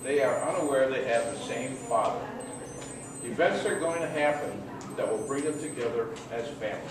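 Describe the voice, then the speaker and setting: A man reading as the storyteller of a melodramatic radio play, in a measured, declaiming way. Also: medium pitch, lively intonation, natural voice. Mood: solemn storytelling. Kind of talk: scripted radio play narration. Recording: echoey noisy hall, distant microphone.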